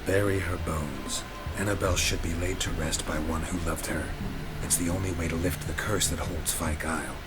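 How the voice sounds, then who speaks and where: A man playing a stoic, blunt monster hunter in a video game, with a terse, deadpan delivery. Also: low-pitched, normal speed, few pauses, natural voice. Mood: firm, stoic. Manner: terse, deadpan, matter-of-fact. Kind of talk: understated video game acting. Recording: loud music behind the voice.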